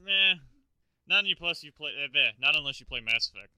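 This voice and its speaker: Very bright, fast-talking man bantering. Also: fairly high pitch, lively intonation, clear, resonant tone. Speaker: podcast co-host. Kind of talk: casual banter.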